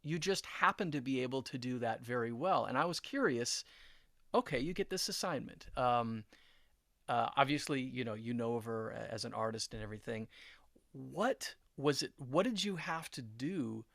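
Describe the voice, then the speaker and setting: A man's voice: medium pitch, lively intonation, normal speed, vocal fry, a bit bright, no echo, studio quality. A middle-aged man hosting an interview, friendly and earnest, often repeating words as he restarts his sentences. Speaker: interview host and producer. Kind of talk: conversational interview.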